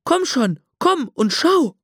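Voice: high-pitched, sing-song, slow pace, no room sound, pitched above the performer's own voice, full character voice. Coaxing clever street dog voiced by the narrator, dry recording.

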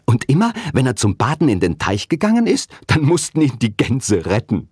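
A man's voice: medium pitch, huge pitch swings, normal speed, a little mellow, slightly rough, dry recording, clean studio sound, pitched above the performer's own voice. A man voicing an earnest, cheeky schoolgirl who tells tall tales insistently in a children's storybook reading. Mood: indignant.

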